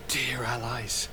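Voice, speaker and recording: A man's voice: medium pitch, noisy background. An actor playing a young noble governor in a video game, courtly and effusive in speech and affectionate toward his cousin. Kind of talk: video game dramatic acting.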